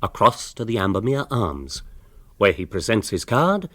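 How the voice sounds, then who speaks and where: An actor playing an urbane English author, narrating a radio comedy in a literary, drily amused manner. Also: male voice, fairly low pitch, big pitch swings, moderate pace, bright, forceful tone, no room sound, exaggerated voice. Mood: wry, relishing.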